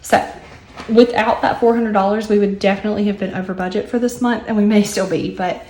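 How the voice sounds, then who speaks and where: Hoarse chatty young woman, echoey room. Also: medium pitch, steady tone, fairly quick, a little mellow. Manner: chatty with many ums and asides. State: hoarse, recovering lost voice. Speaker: budgeting vlogger. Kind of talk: casual chat while working on paper.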